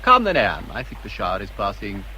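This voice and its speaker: A formal, self-assured gentleman in a period radio drama. His voice is bright and very animated in pitch, coolly courteous, over faint street ambience. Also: brisk, slight background noise, exaggerated voice. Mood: polite, cool. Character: smooth, assured gentleman cousin, coolly polite.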